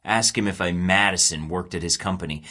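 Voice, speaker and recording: A man's voice: deep voice, fairly quick, pushed and bright. An actor playing a tough, sarcastic male field agent in a video game, speaking in dry, clipped lines. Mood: defiant. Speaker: video game protagonist, a spy.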